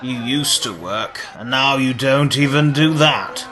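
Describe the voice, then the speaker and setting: A woman's voice: rumbling low, a little slow, a crowd in the background. A woman playing a scornful, bullying crew member in a radio play, taunting and sneering over music. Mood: mocking. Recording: music behind the voice.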